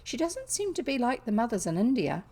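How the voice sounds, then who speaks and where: A woman's voice: a little high, sing-song, brisk, mellow, husky, pitched above the performer's own voice, full character voice. A woman voicing an inquisitive, stubborn, earnest young girl in a storybook reading. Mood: thoughtful, hopeful.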